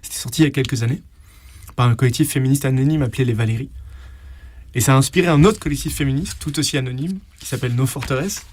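A man's voice: mid-range voice, fast, a little mellow, no echo. A man speaking conversationally as an interviewed publisher, explaining things in an articulate way.

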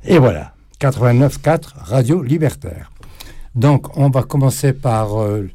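A man's voice: medium pitch, expressive, measured pace, very noisy. A man co-hosting a radio talk show, introducing guests and asking questions at an easy pace with some fillers. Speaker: radio co-host. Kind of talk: casual radio interview. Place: radio studio.

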